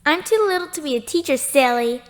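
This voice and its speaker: A woman's voice: sits high, a little fast, lightly acted. A woman voicing an innocent, modest little child in an audio drama. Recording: long hall-like reverb.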